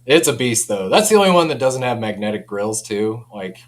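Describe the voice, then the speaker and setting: A man's voice: mid-range voice, sing-song, brisk. A man casually and enthusiastically showing off products as a company representative, informal and given to chuckling. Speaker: speaker company representative giving a product demo. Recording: clear background noise with hum.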